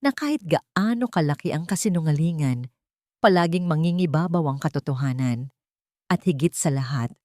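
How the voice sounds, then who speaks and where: A woman's voice: sits low, expressive, normal speed, a little mellow, no room sound, clean studio sound. A woman reading a scripted love story as an audiobook narrator.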